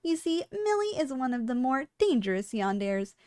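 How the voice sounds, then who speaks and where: A high, clearly feminine voice in a very dry recording, a woman acting as a businesslike shop clerk in an ASMR roleplay. She gives formal, courteous sales patter to the customer. Her delivery leans on dry understatement, keeping everything professional. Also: sing-song, moderate pace, mellow, no room sound. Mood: matter-of-fact. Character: polite, businesslike female shop clerk selling dangerous yandere girls. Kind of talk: scripted ASMR roleplay addressed to the listener.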